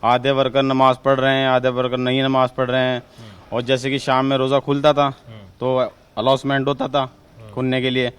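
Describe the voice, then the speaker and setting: Smooth, even-toned man, western UP accent. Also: medium pitch, normal speed. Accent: western Uttar Pradesh. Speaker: local resident interviewed. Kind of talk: informal street interview answer.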